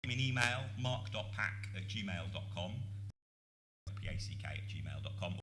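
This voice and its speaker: A man's voice: low voice, lively intonation, moderate pace, a bit bright. A man speaking on a conference panel in a casual, hedging way. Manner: conversational, hedging with fillers. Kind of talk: conference talk. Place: conference room. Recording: echoey room with faint hum.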